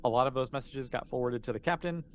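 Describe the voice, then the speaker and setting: A man of average pitch runs a tabletop game as game master. He improvises the narration haltingly, thinking aloud as he goes. There is faint noise behind his voice.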